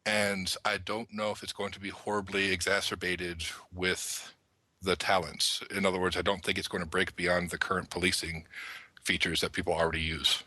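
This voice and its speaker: A man's voice: low voice, little pitch movement, normal speed, pushed and bright, no room sound. A man on a gaming podcast panel, talking enthusiastically and at length about his thoughts. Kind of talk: panel discussion of an online roleplaying game.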